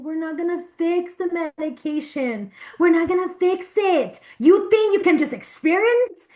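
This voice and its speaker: Woman acting furious, high-voiced, sarcastic patient. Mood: scornful, defiant. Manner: confrontational, swearing, switches between English and Spanish. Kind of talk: heated dramatic acting at a table read.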